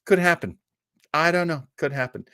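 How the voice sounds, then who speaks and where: Animated, higher-pitched man hosting a livestream. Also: normal speed, clear, resonant tone, no echo, clean studio sound. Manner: chatty, playful, jokey. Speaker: host of a live old-time radio listening show. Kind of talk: casual live chat with viewers.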